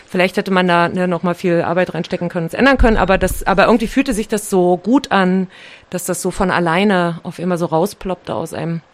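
A woman's voice: lowish voice, brisk. A young German woman chatting casually as a co-host, self-deprecating and full of fillers. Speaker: co-host, songwriter in a band. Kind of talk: casual radio chat.

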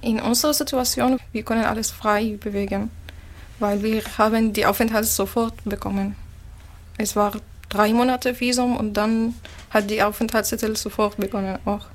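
A woman from Syria, answering an interviewer's questions in a calm, plain manner. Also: mid-range voice, moderate pace. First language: Arabic. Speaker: interviewee, musician.

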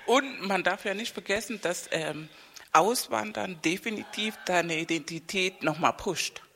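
A woman at a mid pitch telling stories with lively energy in casual conversation. The room adds a long reverb to her voice. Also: unhurried. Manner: lively anecdotal storyteller.

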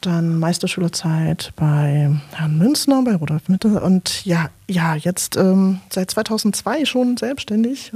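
A German woman from Leipzig, a painter and performance artist, talking about her life in a relaxed interview, with lots of fillers and restarts. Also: mid-range voice, husky. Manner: talkative, many fillers and restarts, laughs often. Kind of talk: interview, personal background.

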